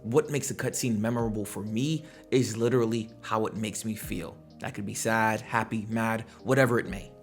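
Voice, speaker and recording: A man's voice: fairly low pitch, steady tone, moderate pace, hardly any pauses. Guy doing casual gaming commentary, slangy, asks rhetorical questions, music under him. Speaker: host of a gaming commentary video. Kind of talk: casual opinionated video commentary.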